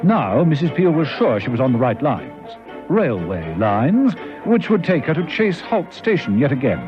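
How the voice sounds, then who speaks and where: A man as a suspense serial storyteller. He reads scripted narration with lively, dramatic intonation at a middling pitch. Music plays behind, and the vintage radio recording is slightly dull. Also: normal speed, close to an everyday voice. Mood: suspenseful.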